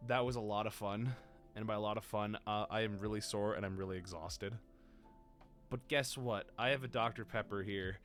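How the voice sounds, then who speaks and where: A worn-out young man chats loosely as a stream host, his voice sitting low and a bit deeper than usual. He rambles and pokes fun at himself in a playful way, while soft music plays faintly behind him. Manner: rambling, playful, self-mocking. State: tired and exhausted. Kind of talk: casual livestream chat.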